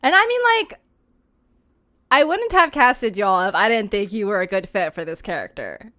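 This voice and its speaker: A woman's voice: mid-range voice, sing-song, normal speed, sharp tone, no room sound, clean studio sound. A woman who creates and edits a podcast, chatting casually and informally. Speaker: podcast creator, director, editor. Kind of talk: casual chat.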